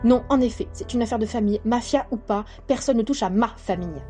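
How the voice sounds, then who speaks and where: Casting: woman playing hard-nosed young crime-family sister, curt delivery, dark soft timbre, light music underneath. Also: on the high side, a little fast, lightly acted. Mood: vengeful. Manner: curt, scolding, dry. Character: tough, impatient young mafia sister. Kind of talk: French audio drama acting. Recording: faint music behind.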